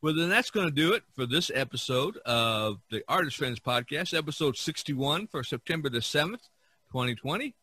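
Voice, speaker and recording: A man's voice: a little high, animated, moderate pace, pushed and bright, breathy edge, dry recording. A man hosting a podcast in a casual, rambling, folksy way. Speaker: podcast host. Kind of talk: casual chat.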